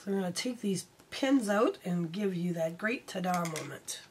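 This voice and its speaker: A middle-aged woman hosting a quilting lesson, chatting warmly while she sews, her voice starting to go hoarse. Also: on the low side, expressive, normal speed, a little hiss or hum. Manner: chatty, homey, talks while sewing. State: voice going hoarse. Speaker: quilting channel host. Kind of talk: casual sewing tutorial.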